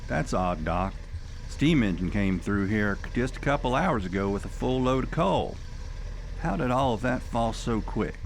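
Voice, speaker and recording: An actor playing an eager, deferential young cart driver in a radio drama. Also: male voice, mid-range voice, lively intonation, unhurried, vocal fry, slight background noise. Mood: puzzled, uneasy.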